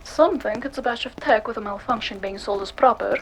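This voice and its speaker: A woman playing a curious, cool-headed cyberpunk investigator in a noir audio drama, measured and matter-of-fact. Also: on the high side, slight background noise, close to an everyday voice.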